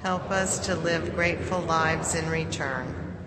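A woman leading a congregation through a prayer of confession in a measured reading. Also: low voice, a loud crowd. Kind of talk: slow unison prayer reading. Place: church sanctuary. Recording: church hall reverb with loud room noise.

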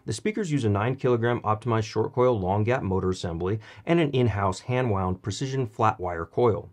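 Male reviewer, slightly low voice, scripted narration for video reviews. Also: expressive, moderate pace. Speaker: product reviewer and channel host.

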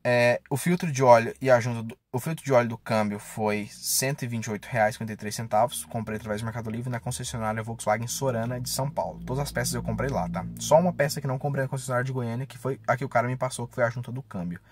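Fast-talking young man, casual vlogger. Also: medium pitch, steady tone, a little hiss or hum. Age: 20s. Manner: informal, slangy, mildly profane. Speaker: car vlogger. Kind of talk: casual vlog chat. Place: inside a parked car. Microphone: camera or phone at arm's length.

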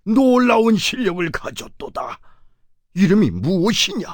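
A man's voice: high-pitched, sing-song, unhurried, no echo, studio quality. A man playing a regal, imposing king in a children's folktale, with grand, pompous, archaic speech. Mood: impressed. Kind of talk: children's animated folktale acting.

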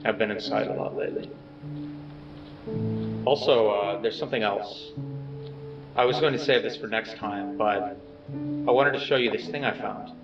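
An awkward, earnest man played by an actor talks haltingly into a video letter, modest and unsure of himself. His voice sits a little low. It comes through echoey and somewhat distant, as a played-back recording. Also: lightly acted. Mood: hesitant, subdued. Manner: hesitant, halting, softly self-effacing. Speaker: narrator of a personal video letter. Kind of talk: low-key found-footage video message monologue. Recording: dull, echoey, distant playback with music.

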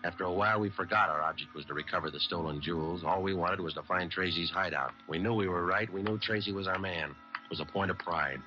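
A man's voice: deep voice, fast, bright, forceful tone. An actor playing a stoic, dogged American police detective narrating his case in terse, matter-of-fact radio-drama style. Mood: weary, deadpan. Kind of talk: 1940s-50s radio crime drama, clipped narration. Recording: dull vintage radio recording, music.

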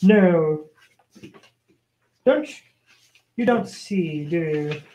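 A man playing a scheming, vengeful royal official in an old-time radio show, theatrical and halting. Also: on the high side, a little mellow, low-quality recording. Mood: scornful. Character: vengeful scheming male royal official, imperious, obsequious to the king.

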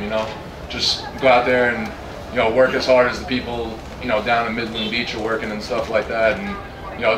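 A man in his early twenties, a college football player giving earnest answers at a press conference into a handheld mic. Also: mid-range voice. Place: press tent with ambient noise. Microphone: handheld microphone. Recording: roomy, distant handheld mic, clear background noise.

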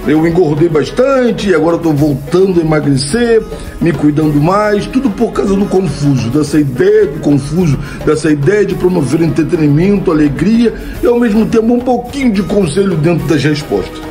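A man's voice: fairly high pitch, lively intonation, moderate pace, music in the background. A middle-aged man with a Brazilian Portuguese accent, chatting casually into his phone as a comedy creator. He answers viewer questions, laughs at his own jokes and keeps asking "né?". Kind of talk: casual Q&A monologue. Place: home room, indoors. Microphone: old phone camera, close framing.

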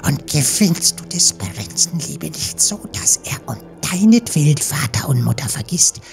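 A woman playing a sinister, scheming sea witch in a fairy-tale audiobook, with a hoarse, breathy whisper and slow, ominous delivery. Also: sits low, big pitch swings, faint music behind, heavily acted. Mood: ominous, warning.

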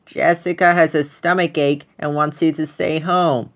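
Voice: medium pitch, steady tone, a little slow, lightly acted. An earnest little girl of five from a storybook, as a narrator acts her out. She speaks simply and a little shyly. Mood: matter-of-fact.

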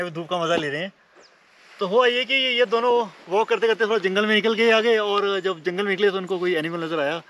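A joking, chatty man talks to his camera on a forested hillside, his voice sitting fairly high for a man. He addresses viewers as friends in a relaxed, casual vlog. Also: slight background noise. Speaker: travel vlogger. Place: outdoors on a forested mountainside.

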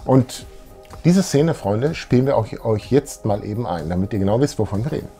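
Husky middle-aged man chatting casually. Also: medium pitch, animated, fairly quick, dark tone, faint music behind. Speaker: video show co-host. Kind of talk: casual nostalgic fan chat. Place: small room full of collectibles, indoors.

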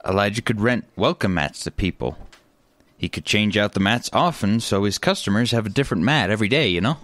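An eager, inventive brother in a family radio comedy, played by a man. His delivery is animated and swoops around at a middle pitch, recorded close and dry. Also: a little fast, no echo. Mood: matter-of-fact, eager. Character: inventive, eager, helpful brother with odd ideas.

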